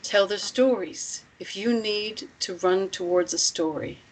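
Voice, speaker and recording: A woman acting a composed, persuasive protector of runaway women in a script read, speaking in a deliberate, measured way. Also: mid-range voice, close to an everyday voice, poor audio. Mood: earnest, persuasive. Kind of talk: script table read, acted dialogue.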